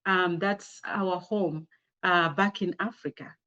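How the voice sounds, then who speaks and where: A woman's voice: on the low side, steady tone, takes it slowly, sharp tone, dull audio. A woman with a Zambian accent giving an informal slide presentation, conversational and often putting rhetorical questions to her listeners. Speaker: speaker sharing her career story with girls.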